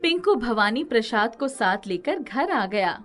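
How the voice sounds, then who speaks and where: A woman narrating a scripted children's moral story with expressive delivery. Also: fairly high pitch, measured pace.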